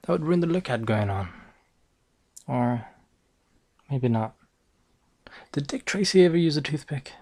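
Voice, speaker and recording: A man with a Northern English accent playing a boastful, bumbling noir private eye, narrating deadpan with hesitant asides in an ASMR roleplay. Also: mid-range voice, measured pace, pauses often, no room sound, studio quality. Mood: deadpan, rambling.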